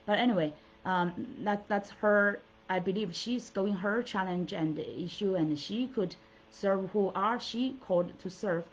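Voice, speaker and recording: A woman's voice: medium pitch, a little slow, slight background noise. A middle-aged woman from China, a native Mandarin speaker, giving an earnest, encouraging motivational talk as coach and host, with many fillers and restarts. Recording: dull lo-fi audio.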